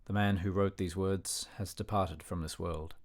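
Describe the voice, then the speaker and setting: A man acting as an educated, disapproving older gentleman narrating a memoir in a radio play, measured, formal and dignified. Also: low-pitched, steady tone. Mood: measured, reflective.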